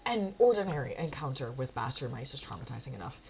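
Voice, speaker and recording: A woman's voice: rumbling low, sing-song, fast, creaky, darkish tone, a little hiss or hum, pitched below the performer's own voice, exaggerated voice. A woman voicing a witch's cat apprentice, a wry, self-deprecating narrator reading a visual novel expressively. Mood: rueful. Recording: faint music behind.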